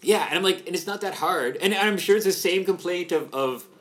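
A man with a Canadian accent chatting casually as a podcast co-host, animated and playfully nerdy. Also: sits high, brisk. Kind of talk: casual chat.

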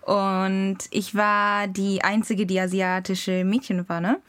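Podcast co-host: young woman, unhurried and word-searching, giggly, recorded very dry. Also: medium pitch, flat delivery, no echo. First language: Japanese. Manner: halting, searching for words, giggly. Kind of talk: casual personal storytelling.

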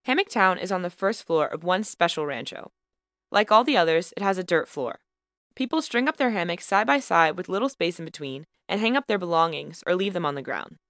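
A woman narrating a student podcast episode in a measured, lightly wry scripted style. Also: on the low side, animated, fairly bright tone, no echo, clean studio sound. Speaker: podcast host and student reporter.

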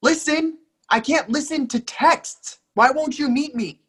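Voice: high voice, measured pace, a bit bright. An actor playing a lovestruck, pleading young partner, hurt and insistent, in an emphatic readers theatre reading. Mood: distressed, insistent. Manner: emphatic, imploring.

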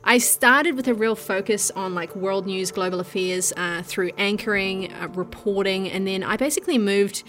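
A woman's voice: medium pitch, normal speed, hardly any pauses, a bit bright. A woman in her thirties from New Zealand with an Australian-tinged accent, a news host talking earnestly and passionately in a casual interview, with fillers like "you know" and "look". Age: 30s.

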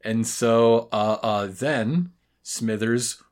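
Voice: mid-range voice, very slow, a little mellow. A podcast co-host casually recapping a story, with dry asides and acted-out quotes. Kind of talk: casual, wry episode recap.

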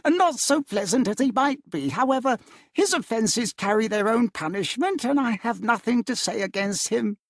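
A man's voice: very high-pitched, lively intonation, moderate pace, no room sound, studio quality, voice pushed up, exaggerated voice. A man voicing a merry, hearty young nephew who laughs easily, in a storybook reading.

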